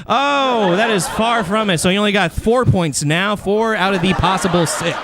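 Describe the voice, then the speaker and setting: A man's voice: high voice, normal speed, crowd noise. A man hosting a bantering comedy game show on a podcast, with crude, profane, jokey talk.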